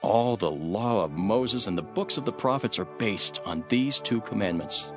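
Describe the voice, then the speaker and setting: A man playing an authoritative teacher in a Bible audio drama, speaking in a firm, emphatic, measured way. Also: on the low side, expressive, over music, close to an everyday voice. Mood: earnest teaching.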